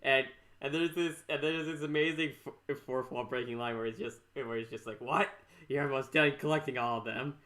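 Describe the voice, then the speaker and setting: Bright-voiced man, rambling and stammering. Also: mid-range voice, steady tone, a little fast. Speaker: podcast host. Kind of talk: casual chat.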